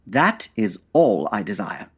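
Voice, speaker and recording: A man's voice: on the low side, big pitch swings, slow pace, a bit bright, breathy edge, full character voice. A man playing a brilliant, proud detective in old-time radio drama style, formal and articulate. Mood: admiring, earnest.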